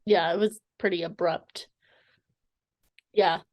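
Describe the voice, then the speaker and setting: Slow, halting woman interviewer. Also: on the low side, fairly even intonation. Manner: hesitant, halting phrasing. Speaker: interviewer, fan club member. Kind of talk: conversational interview questioning.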